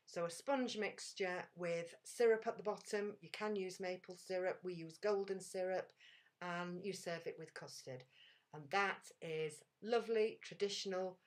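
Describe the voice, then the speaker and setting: A woman guest explaining home cooking in a homely, relaxed way. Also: fairly low pitch. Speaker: guest author. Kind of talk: casual interview over video call.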